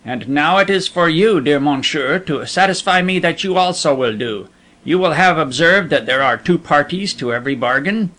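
Man doing a smooth unscrupulous adventurer, calm, polite, totally sure of himself, slightly high voice. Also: normal speed. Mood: cool. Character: cool, poor, unscrupulous male adventurer, self-assured.

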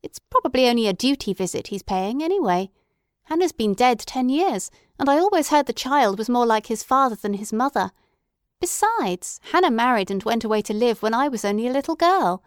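A woman voicing an impatient, disparaging middle-aged mother who talks briskly and fussily. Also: a little high, very animated, smooth, no room sound, studio quality, voice pushed up.

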